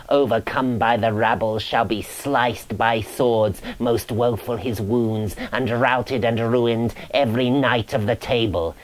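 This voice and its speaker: Man voicing a slow, moaning, doom-preaching ghost. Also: fairly low pitch, rough recording. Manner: solemn, incantatory, moaning, preaching. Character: grisly, woeful ghost of a damned soul, grimly prophesying doom. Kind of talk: dramatic verse reading of a medieval romance.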